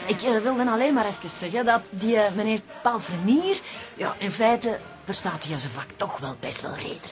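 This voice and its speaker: Vain, lively Flemish lady, played by an actress. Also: medium pitch, normal speed, close to an everyday voice. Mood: coy. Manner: haughty, chatty, repeats herself. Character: vain, self-satisfied married Flemish lady customer. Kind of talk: radio drama acting.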